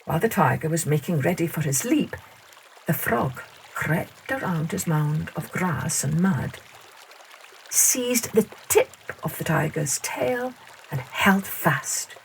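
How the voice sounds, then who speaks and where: A woman narrating scripted children's folktales as a storyteller, in an expressive, measured way. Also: low-pitched, creaky, a little hiss or hum, rough recording. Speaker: storyteller, podcast host.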